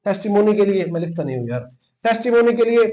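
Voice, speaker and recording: High-pitched Pakistani man teaching a class. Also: very animated, quick pace, dark tone. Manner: repeats key terms and phrases; mixes English legal terms into Urdu speech. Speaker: teacher of a law exam-prep class. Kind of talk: informal lecture, explaining and dictating notes.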